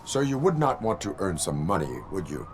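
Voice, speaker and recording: A man playing a stoic, dryly menacing cyborg policeman with measured, deadpan delivery in a video game. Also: low-pitched, a little hiss or hum.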